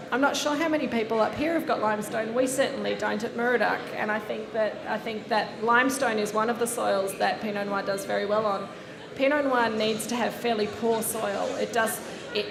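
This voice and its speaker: A woman's voice: mid-range voice, vocal fry. A woman moderating a wine panel discussion, thoughtful and hesitant, with many fillers and restarts. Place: seminar room with live audience. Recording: echoey room with audience.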